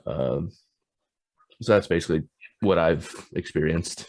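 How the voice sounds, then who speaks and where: Very deep-voiced young man, dry sound. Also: darkish tone, no echo, poor audio. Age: 20s. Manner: halting, thinks aloud with many likes. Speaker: young adult panelist. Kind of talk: casual chat. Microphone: video call.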